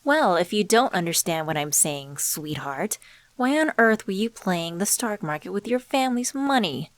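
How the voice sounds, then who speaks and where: A woman playing an enigmatic, suave stranger in a scripted ASMR roleplay, speaking to the listener in a measured, teasing, condescending and knowing way. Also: mid-range voice, sing-song, no room sound, close to an everyday voice. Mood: smug, taunting. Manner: measured, teasing, rhetorical questions, condescending endearments.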